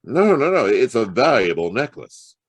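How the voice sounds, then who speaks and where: An actor playing a wry, streetwise private detective in a hard-boiled audio drama, with a sardonic, conversational delivery. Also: male voice, mid-range voice, very animated, moderate pace, slightly rough. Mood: earnest bargaining. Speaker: actor narrating and playing the lead.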